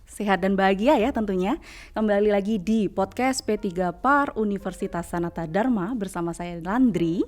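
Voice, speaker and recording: A woman's voice: medium pitch, darkish tone. A woman hosting a podcast, chatty, with many fillers. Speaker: podcast host, lecturer.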